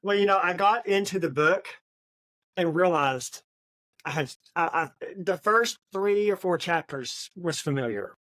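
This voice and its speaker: A woman's voice: fairly low pitch, expressive, a little slow, a bit bright, no room sound. A woman co-hosting a book discussion, talking in a casual, conversational way. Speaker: book podcast co-host.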